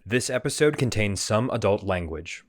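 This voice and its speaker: A man's voice: fairly low pitch, animated, normal speed. A man reading scripted announcements as the announcer of an audio drama. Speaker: show announcer. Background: music.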